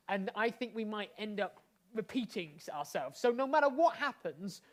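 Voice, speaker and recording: A British man hosting a live improvised comedy show in an excitable, rambling, effusive way. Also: very high voice. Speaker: host. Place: indoors, live online show.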